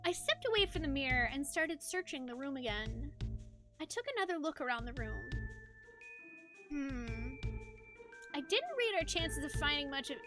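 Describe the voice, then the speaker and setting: A woman from the United States, chatty and expressive, livestreaming as she talks with viewers and reads game narration aloud. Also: sits high, moderate pace, long pauses, music in the background. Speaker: game streamer. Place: indoors, game livestream with music behind.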